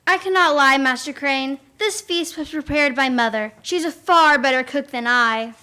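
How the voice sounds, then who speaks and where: A woman's voice: high voice, moderate pace, pushed and bright. A young female performer playing a coquettish eighteen-year-old farmer's daughter in a school radio play. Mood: playful, candid. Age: young teenager.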